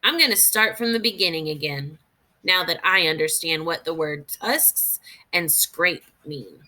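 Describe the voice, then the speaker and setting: A woman teacher reading a children's nonfiction book aloud in a deliberate, expressive way, pausing to think aloud for young learners. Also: low-pitched.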